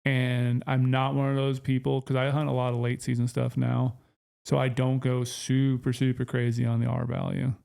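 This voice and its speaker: A man's voice: mid-range voice, monotone, normal speed, creaky, warm tone. A man co-hosting a casual podcast, explaining his choices in an easygoing, plain way. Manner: easygoing, explains plainly, short agreeing replies. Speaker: podcast co-host.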